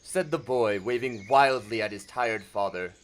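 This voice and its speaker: A man's voice: lowish voice, moderate pace, a little hiss or hum. A man reading scripted story narration for an audio drama.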